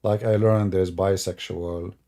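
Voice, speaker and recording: A man's voice: deep voice, steady tone, a little slow, dark tone. A man whose first language is Arabic, hosting sarcastic religious commentary in a mocking, theatrical way. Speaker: host.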